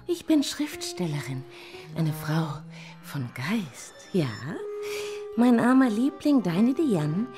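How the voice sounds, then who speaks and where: Theatrical middle-aged literary lady, radio play, sensual, breathy whispers, wildly swooping pitch, unhurried. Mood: rapturous, confiding. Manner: grandiose, breathy, dramatic phrasing with sudden whispers. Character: sensual, theatrical lady writer craving self-abasement. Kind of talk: German radio play acting.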